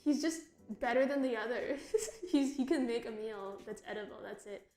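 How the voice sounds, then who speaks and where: A young woman with a slightly high voice chats casually while she draws, reading out questions and laughing at herself often. Her voice rings in an echoey room, with faint music playing underneath. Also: normal speed, warm tone. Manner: laughs often, self-deprecating, reads out viewer questions. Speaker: webcomic artist answering chat questions. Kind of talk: casual livestream chat. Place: home room while drawing.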